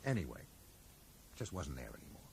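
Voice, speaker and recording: An actor playing a level-headed, earnest bookshop owner in a radio drama, explaining things in a measured way. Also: male voice, rumbling low, very animated, vocal fry, a little mellow, exaggerated voice. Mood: earnest, reflective. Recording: mild hiss, 1980s radio drama.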